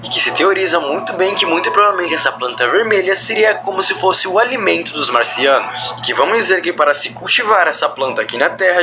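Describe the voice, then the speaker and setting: A Brazilian man with a slightly high voice explains things as a commentator, in a wordy and roundabout manner. Prominent music plays behind him the whole time. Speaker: video host. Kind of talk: reaction commentary explaining a horror video. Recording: loud background music under the voice.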